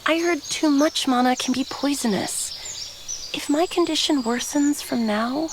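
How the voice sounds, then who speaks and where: A woman playing a gentle, dutiful noble elder sister in an anime-style game, polite and composed. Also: high-pitched, unhurried, background noise.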